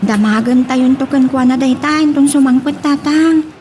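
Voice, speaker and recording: An actress as a young Ilocano daughter with a very high voice. She sounds dutiful and troubled, in audio drama style. There is some noise behind her. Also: moderate pace, darkish tone, close to an everyday voice. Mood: earnest, troubled.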